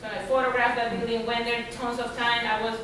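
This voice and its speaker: A woman from Argentina answering audience questions at a symposium, pausing often and searching for words. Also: on the high side, little pitch movement, background noise. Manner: halting, searching for words, many fillers. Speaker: artist at a symposium. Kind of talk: reflective Q&A answer. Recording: echoey lecture room from far off.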